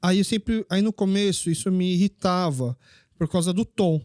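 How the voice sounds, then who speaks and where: A man hosting a casual interview, telling stories in an animated way and acting out the dialogue. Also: high voice, normal speed, no room sound, studio quality. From Brazil. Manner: animated storyteller who acts out dialogue. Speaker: podcast host.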